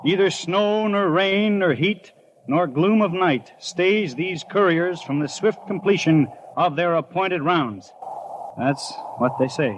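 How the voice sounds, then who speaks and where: A man's voice: high voice, takes it slowly, a little hiss or hum. An actor playing an earnest, imaginative small-town postman with a folksy, hesitant manner in a 1950s radio drama. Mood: stubborn, noble. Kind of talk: 1950s radio acting, first-person storytelling and dialogue. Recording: dull old radio recording.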